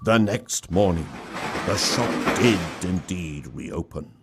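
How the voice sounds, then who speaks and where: A man reading a story as a dramatic narrator, with a theatrical, mock-grave delivery and deliberate dramatic pauses. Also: low-pitched, expressive, very noisy.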